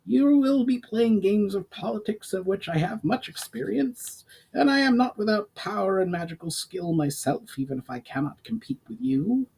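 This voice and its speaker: A man's voice: high voice, animated, moderate pace, creaky, few pauses, darkish tone, slightly rough, pitched above the performer's own voice, heavily acted, rough recording. A man voicing a proud, shrewd goblin leader in a storybook reading, speaking formally and insistently. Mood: firm, insistent.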